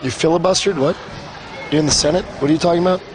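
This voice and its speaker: A young man, a wrestler, doing color commentary at a wrestling show, hoarse from screaming and joking around. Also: a little high, very fast, music in the background. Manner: joking, excitable, rambling. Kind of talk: live play-by-play commentary. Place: wrestling arena commentary desk. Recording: loud arena crowd and noise.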